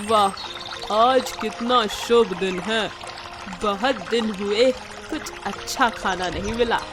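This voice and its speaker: A woman's voice: medium pitch, drawn-out words, over music. A woman voicing a hungry, scheming, gloating crocodile in a children's animal story.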